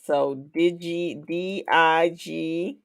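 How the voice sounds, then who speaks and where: A man hosting a live-streamed business talk show, speaking in an unhurried, halting way with many "um"s and short nods of agreement. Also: on the high side, steady tone, vocal fry, smooth. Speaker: show host.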